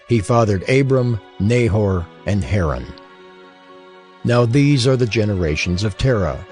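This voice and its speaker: Male narrator for scripture, lowish voice, formal and measured, wide intonation, soft music beneath. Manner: solemn, measured, formal reading. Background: faint music.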